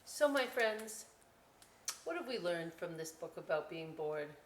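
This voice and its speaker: A woman hosting a children's storytime and craft session, chatty and expressive, speaking directly to young listeners. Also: lowish voice, a little slow, noisy background. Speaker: library storytime host. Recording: echoey room.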